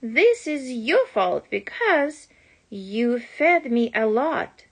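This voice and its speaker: A woman's voice: a little high, very animated, drawn-out words, full character voice. A woman voicing a hungry, indignant male pet goldfish in a children's storybook, insistent and complaining. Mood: indignant, demanding.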